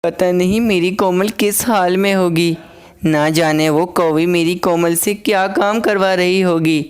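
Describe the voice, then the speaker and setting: A woman voicing a gentle, protective and anxious mother sparrow in a cartoon story. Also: on the low side, fairly even intonation, a little slow, clean tone, natural voice. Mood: worried, pleading.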